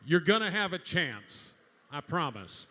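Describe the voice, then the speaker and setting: Booming fast-talking auctioneer man. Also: very animated, bright, forceful tone. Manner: rapid-fire bid calling, booming. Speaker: auctioneer and emcee. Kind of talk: live charity auction calling. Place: large banquet hall. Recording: reverberant hall with music.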